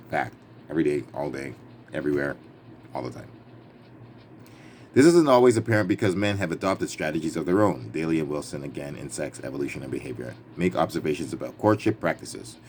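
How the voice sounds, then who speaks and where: A man's voice: low voice, a little mellow. A man reading a book aloud in an emphatic, playful manner, breaking away for casual, wry asides of his own. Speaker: reader and commentator. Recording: clearly noisy background ambience.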